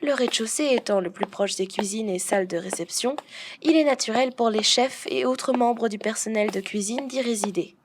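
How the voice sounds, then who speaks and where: Woman as a prim, high-voiced head housekeeper. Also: noisy background, lightly acted. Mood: formal, dutiful. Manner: prim, formal, measured, precise. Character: strict, formal, dutiful head housekeeper of a manor. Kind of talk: French audio roleplay acting.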